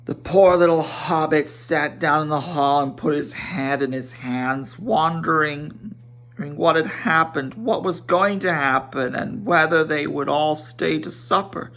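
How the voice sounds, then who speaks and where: A man giving an amateur dramatic storybook reading, expressive, with occasional stumbles over words. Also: on the high side, measured pace. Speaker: amateur audiobook reader. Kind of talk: dramatic storybook narration with character voices.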